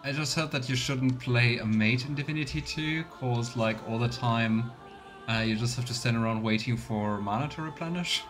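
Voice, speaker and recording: A man's voice: medium pitch, normal speed, over music. A man giving casual, chatty let's play commentary with playful sarcasm. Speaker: livestreamer playing a video game.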